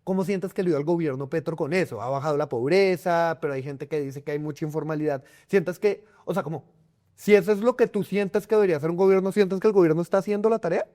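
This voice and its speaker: A man's voice: a little high, fast talker, warm tone. A man interviewing a guest, asking loose, friendly questions with frequent restarts.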